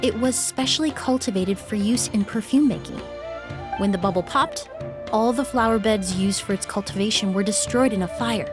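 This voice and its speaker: A woman's voice: mid-range voice, music in the background, close to an everyday voice. Woman playing a poised, earnest perfumer. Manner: measured, articulate, explanatory. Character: refined young woman perfumer, calm and earnest. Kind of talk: game voice acting.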